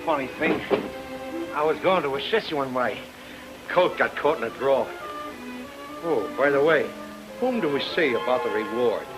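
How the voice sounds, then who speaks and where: A man's voice: mid-range voice, expressive, moderate pace, pauses often, loud music behind the voice, natural voice, rough recording. A man playing a comic, nonchalant sidekick in an old-time radio noir.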